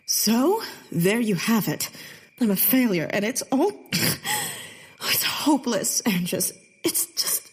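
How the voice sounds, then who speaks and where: A woman's voice: a little high, huge pitch swings, takes it slowly, slightly rough, heavily acted, poor audio. An actress playing a bitter, theatrical Victorian woman poet in a comedic period audio drama, with a haughty, sardonic delivery. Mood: despairing.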